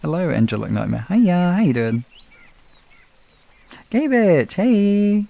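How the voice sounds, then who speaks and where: A man's voice: high voice, very animated, takes it slowly, vocal fry, warm tone, no room sound. A man with a British accent hosting a livestream, chatting casually and rambling informally with some swearing. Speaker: livestream host. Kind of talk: casual chat with stream viewers.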